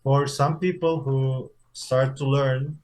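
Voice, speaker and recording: A man learning English, speaking deliberately with a few fillers. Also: mid-range voice, flat delivery, darkish tone.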